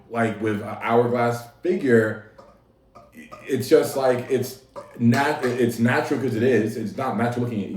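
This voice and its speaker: A man's voice: medium pitch, a little mellow. A man hosting a casual livestream, talking easily to his chat and laughing often. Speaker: livestream host. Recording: echoey room, mic at a distance.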